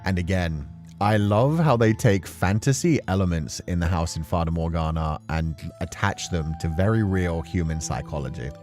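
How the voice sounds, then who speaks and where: A man with a deep, low voice chats his way through a story game, animated and full of asides. Faint game music plays underneath his commentary. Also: normal speed. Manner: chatty, animated, frequent laughs and fillers. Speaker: let's play host.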